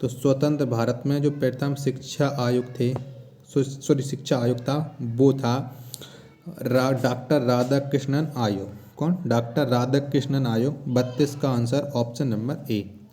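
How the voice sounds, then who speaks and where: A man's voice: mid-range voice, steady tone, measured pace, mellow. A man teaching an exam quiz lesson, posing questions and then repeating the answers.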